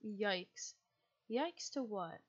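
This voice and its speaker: A young woman from the United States, chatting casually and rambling as she streams a game, with a husky, quiet voice and frequent laughs. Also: medium pitch, lively intonation, very slow, highs cut off.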